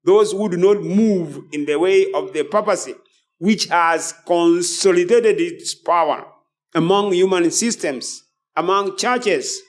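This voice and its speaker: A man's voice: sits high, takes it slowly. A man preaching a sermon in a solemn, declamatory manner, repeating phrases and reading out quotations as a preacher.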